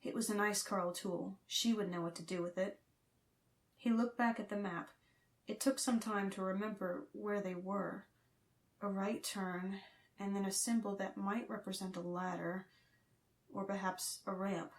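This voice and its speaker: A woman's voice: medium pitch, steady tone, measured pace. A woman reading fiction as an audiobook narrator, in a scripted, dramatic storytelling style.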